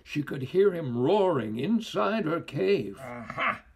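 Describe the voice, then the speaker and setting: An older man reading a children's story aloud as a storyteller, with theatrical, expressive and deliberate phrasing. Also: on the high side, creaky, breathy edge.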